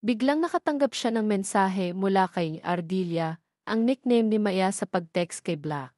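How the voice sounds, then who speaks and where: A woman's voice: medium pitch, expressive, moderate pace, a little mellow, no echo. A woman reading a scripted story as its narrator.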